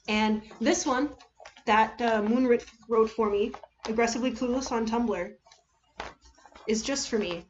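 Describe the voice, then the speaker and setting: The recording is a little dull and lo-fi, like a home livestream. A woman with a somewhat high voice chats casually with her viewers. She rambles haltingly, trailing off and correcting herself as she goes. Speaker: livestream host reading fan fiction.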